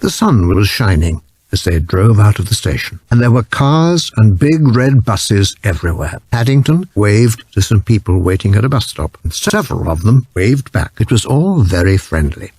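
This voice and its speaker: Animated, slightly husky male narrator. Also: fairly low pitch, normal speed, few pauses, dry recording. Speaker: audiobook narrator. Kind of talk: scripted children's story narration.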